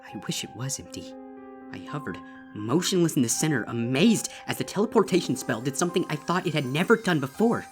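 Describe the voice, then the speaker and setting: A man reading a horror story as a traumatized female unicorn, grave, deliberate and intense, over music. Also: a little high, lively intonation, lightly acted. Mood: horrified awe. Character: traumatized young female unicorn, horrified and desperate. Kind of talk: dramatic first-person horror story reading.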